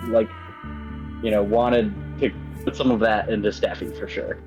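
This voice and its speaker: A man's voice: on the low side, level tone, normal speed. A man who writes games, chatting casually in an interview, hesitant and rambling with frequent stammers. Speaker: game writer. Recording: slightly dull lo-fi mic. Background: background music.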